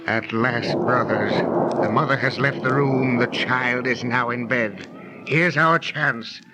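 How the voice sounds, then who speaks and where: A man playing a stealthy, scheming villain, grimly bold, with deliberate declamatory speech in a 1930s radio drama. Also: medium pitch, close to an everyday voice. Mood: conspiratorial, urgent. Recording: noisy old 1930s radio transcription.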